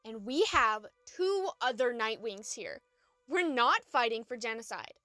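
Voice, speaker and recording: Casting: actress as small ferocious young dragon, high forceful voice, slow emphatic delivery, fantasy audio drama. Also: female voice, sing-song, no echo, soft background music, exaggerated voice. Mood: fierce, indignant. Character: small, fierce young female dragon, fiery and loyal.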